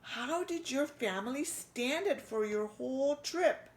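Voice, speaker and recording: A woman voicing an eager young male church mouse with a high, squealing voice. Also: animated, unhurried. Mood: excited.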